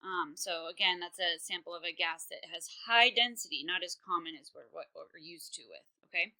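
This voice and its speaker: A woman's voice: on the low side, fairly quick, sharp tone. A woman chemistry teacher giving a recorded online lesson, talking casually with many fillers while working problems on screen.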